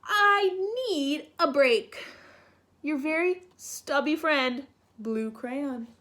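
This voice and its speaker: A woman's voice: high-pitched, extremely slow, bright, forceful tone, exaggerated voice. A woman voicing a short, overworked, weary blue crayon from a children's storybook, polite and plaintive. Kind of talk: children's storybook read-aloud.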